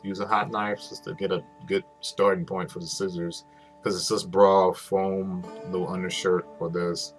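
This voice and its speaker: A man narrating a craft tutorial in a casual, rambling way, swearing freely. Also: deep voice. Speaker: host, prop maker. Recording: music behind the voice.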